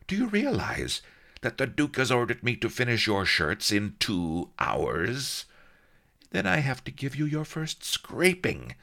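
A man's voice: medium pitch, huge pitch swings, measured pace, creaky, pushed and bright, voice pushed up, exaggerated voice. A man voicing a fat, overworked executioner who complains indignantly about his workload. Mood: aggrieved. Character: fat grumbling male executioner, aggrieved, overworked.

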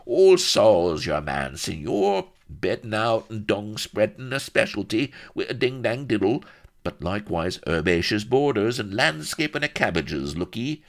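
Fawning old gardener. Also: male voice, lowish voice, very animated, measured pace, gravelly, pitched above the performer's own voice, full character voice. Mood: grovelling. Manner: fawning, rambling, archaic country talk. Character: grovelling hobbling old man, rustic English gardener.

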